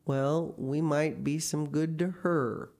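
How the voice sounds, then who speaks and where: A woman voicing a shy, mild older man from a storybook, gently stubborn, with slow, halting, reluctant speech. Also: sits low, fairly even intonation. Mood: mildly persistent. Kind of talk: storybook character reading.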